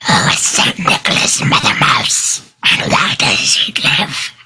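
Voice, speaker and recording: A man voicing a small curious boy mouse in a live radio play reading, pleading and hushed. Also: slow pace. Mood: eager. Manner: pleading, hushed and wide-eyed.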